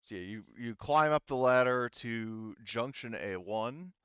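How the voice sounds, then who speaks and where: A man speaking casually and haltingly as game master, explaining options in a tabletop roleplaying game. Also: medium pitch, lively intonation, fairly bright tone, clean tone, no echo, muffled recording. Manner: halting, informal explaining with frequent "like".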